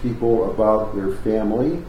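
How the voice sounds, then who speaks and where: A man's voice: on the low side, moderate pace, dark tone, reverberant, slight background noise, rough recording. A man conducting an oral history interview in a university office, talking with many fillers and restarts.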